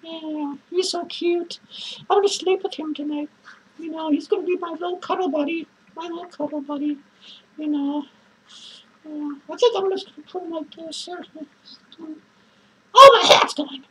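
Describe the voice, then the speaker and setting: Woman playing a shrill unhinged drunk clown. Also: moderate pace, a little hiss or hum, low-quality recording. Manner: manic cackling, swearing, lurching between shouts and mutters. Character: unhinged drunk male clown, crude and rambling. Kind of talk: improvised character monologue to viewers.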